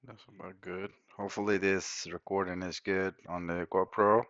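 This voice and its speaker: A man's voice: deep voice, flat delivery, normal speed, a bit bright, no room sound. A man from Costa Rica with a Costa Rican Spanish accent, a native Spanish speaker, thinking aloud as he works through a hands-on electronics repair at his bench. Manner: muttering to himself while working, informal. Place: repair workbench indoors. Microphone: action camera mic. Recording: dull lo-fi action camera audio.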